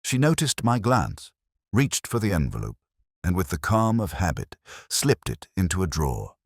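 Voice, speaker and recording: Casting: male narrator, middle-aged, extremely low husky voice, measured and brooding, for literary detective fiction. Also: big pitch swings, dry recording, clean studio sound. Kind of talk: scripted first-person audiobook narration.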